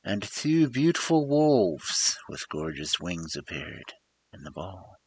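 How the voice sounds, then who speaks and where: Male storyteller, very low voice, expressive swings in pitch, dramatic scripted narration, theatrical emphasis. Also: slow, slightly rough.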